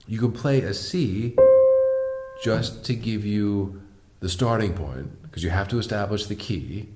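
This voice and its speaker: A man with a deep voice teaching a piano lesson, patiently explaining step by step and asking the viewer questions. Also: unhurried. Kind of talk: online music lesson.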